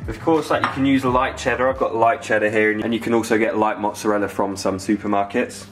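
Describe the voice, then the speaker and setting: A man's voice: fairly low pitch, steady tone, a little fast, slight background noise. A British man in his twenties hosting a casual cooking tutorial in an informal, enthusiastic way from a home kitchen. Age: 20s. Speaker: cooking video host.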